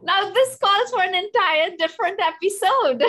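A middle-aged woman with a Trinidadian accent, hosting a conversational interview over a video call in an animated way. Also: very high voice, normal speed. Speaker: interview host, professor. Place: indoor room, seated in an armchair.

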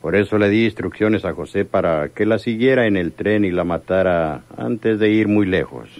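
A man's voice: low voice, moderate pace, no echo, muffled recording, lightly acted. An actor playing a cold, authoritative male crime boss in a radio drama. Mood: cold, suspicious.

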